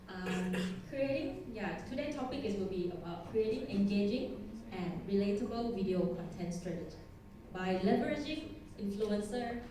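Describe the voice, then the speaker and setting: A woman's voice: medium pitch, mellow, slight background noise. Woman, Thai accent, chatty and self-deprecating, heard from a distance. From Thailand. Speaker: conference speaker. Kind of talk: conference talk. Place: conference hall. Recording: echoey hall, distant mic.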